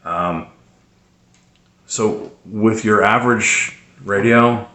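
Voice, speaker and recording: A man giving casual, unscripted product tips as a hobbyist presenter, explaining in a hesitant, halting way. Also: fairly low pitch, pushed and bright, roomy sound.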